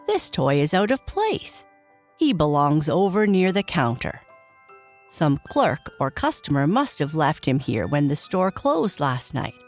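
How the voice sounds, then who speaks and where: A fussy storybook night watchman, voiced by a woman narrator, puzzled and disapproving. He mutters to himself at a slow, deliberate pace, with music behind. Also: sits low, sing-song, heavily acted.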